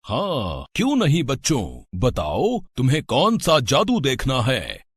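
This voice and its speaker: Obliging village conjuror, a man played by a woman, husky, dropped pitch, hugely animated, for a children's tale. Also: slow pace, full character voice. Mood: reassuring. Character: obliging adult male village magician.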